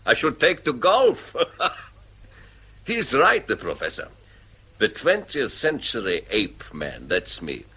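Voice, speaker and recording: An actor playing a jovial, self-mocking rich businessman in a radio play, blunt and expansive with a husky voice. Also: mid-range voice, huge pitch swings, slow pace, pushed and bright, heavily acted. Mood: boisterous, self-mocking. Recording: radio drama recording.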